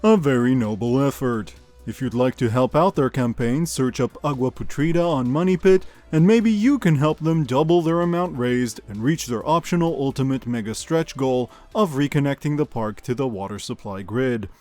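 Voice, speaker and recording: A man playing an unflappable local radio news anchor, reading parody bulletins in a measured, deadpan announcer delivery. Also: mid-range voice, faint music behind, lightly acted. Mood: composed, dry. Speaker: host. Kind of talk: scripted news broadcast parody.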